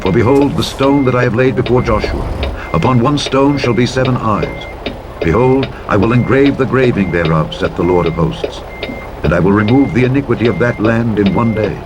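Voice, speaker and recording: A man reads the King James Bible aloud as a narrator, in a solemn, deliberate, declamatory style with measured phrasing. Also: medium pitch, noisy background. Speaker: scripture narrator. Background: truck driving sounds.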